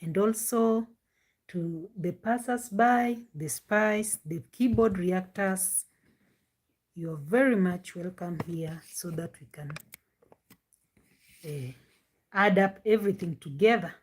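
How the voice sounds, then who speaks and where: Loud, straining woman hosting a livestream. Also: slow, long pauses. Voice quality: deep voice. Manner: talks loudly and with strain. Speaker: live-stream reaction host. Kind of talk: casual live-stream chat with viewers. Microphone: no external microphone, device mic.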